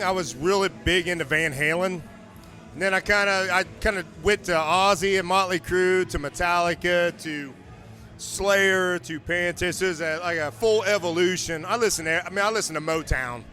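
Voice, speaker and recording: Laid-back high-voiced bass player. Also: male voice, moderate pace, sharp tone, faint music behind. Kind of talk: casual interview answers. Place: outdoors at a noisy event.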